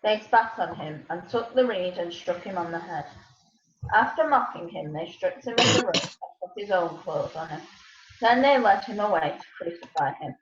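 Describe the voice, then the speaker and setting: Casting: female narrator for scripture, slightly low voice, roomy and a little dull recording. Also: expressive, normal speed, noisy background. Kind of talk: scripture reading. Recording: echoey room, slightly muffled.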